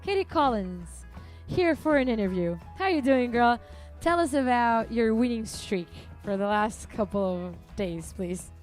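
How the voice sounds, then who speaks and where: Woman event caller, slightly high voice, chatty and upbeat, light music behind. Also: expressive, measured pace, hardly any pauses, darkish tone. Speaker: event commentator and interviewer. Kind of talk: live sports commentary and interviews. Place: outdoor beach event.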